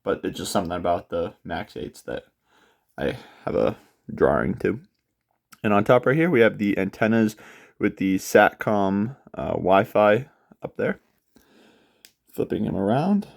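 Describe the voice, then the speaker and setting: A young man hosting a casual unboxing of model aircraft, sounding a bit nasal from a cold and talking in a relaxed way with frequent filler pauses. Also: fairly low pitch, vocal fry. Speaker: aviation model channel host. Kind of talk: casual product unboxing walkthrough.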